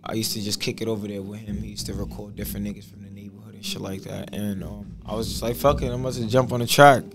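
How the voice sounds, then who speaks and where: Gravelly young rapper, laid-back. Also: male voice, on the low side, fairly even intonation, fast talker, a crowd in the background. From Boston. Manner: laid-back slangy storytelling. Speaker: rapper guest. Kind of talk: casual podcast interview. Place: small studio with purple backdrop. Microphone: studio mic on boom arm.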